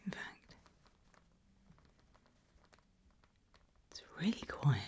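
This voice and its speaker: A woman playing a calm, reassuring monster hunter on night watch in a soft, whispered ASMR roleplay, speaking with long pauses. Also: natural voice. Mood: quietly wary. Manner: hushed, soft-spoken, slow with long pauses.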